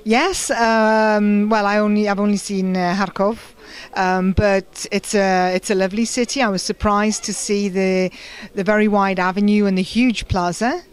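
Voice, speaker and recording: A middle-aged British woman translator, speaking thoughtfully in an interview and pausing often with fillers. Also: medium pitch, steady tone, clean tone. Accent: British English. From United Kingdom. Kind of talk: conference interview and personal story.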